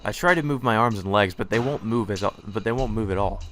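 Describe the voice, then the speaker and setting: A man's voice: fairly low pitch, brisk, fairly bright tone, slight background noise. A man reading a visual novel aloud in first person as the hero, with sarcastic asides of his own. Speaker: let's play narrator.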